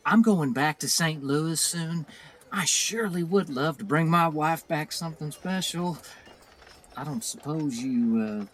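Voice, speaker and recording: A man's voice: on the high side, a little slow. A man playing a friendly, gullible frontier fellow with a rural American accent, folksy and polite, in an audio drama. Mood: friendly bargaining.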